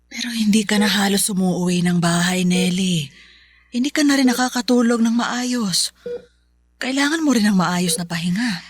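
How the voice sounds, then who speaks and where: A woman playing a caring, concerned older mother in a radio drama, with a husky voice and a soft, coaxing manner. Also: medium pitch, moderate pace, no echo, studio quality, close to an everyday voice. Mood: gentle concern. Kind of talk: Filipino radio drama acting.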